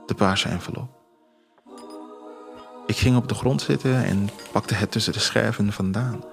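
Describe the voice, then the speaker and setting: A man narrating a scripted first-person story in a measured, literary way. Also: fairly low pitch, creaky. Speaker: author reading own story as narrator. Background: music.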